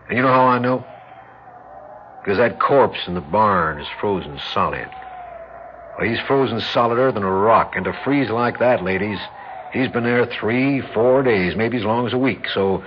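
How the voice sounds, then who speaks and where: Rural Southern lawman played by an actor, deeper register, easygoing and courteous with a point, noisy vintage radio recording. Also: lightly acted. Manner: easygoing, folksy, polite but pointed. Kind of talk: 1960s radio drama acting. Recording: dull, slightly noisy 1960s radio recording.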